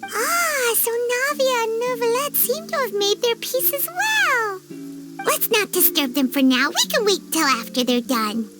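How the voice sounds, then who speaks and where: A woman voicing a small, chirpy, curious flying fairy companion in a video game, with a squeaky childlike voice and expressive delivery. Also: measured pace. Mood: chatty. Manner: refers to self in third person, expressive. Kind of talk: video game character acting. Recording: background music under the voice.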